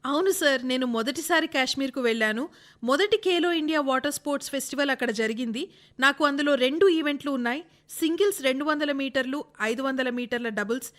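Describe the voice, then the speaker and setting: A woman playing a bright, high-voiced, eager young athlete. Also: a little fast. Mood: earnest, respectful. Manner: deferential, earnest. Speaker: reader voicing an interviewed athlete. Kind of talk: scripted dubbed interview answers for radio.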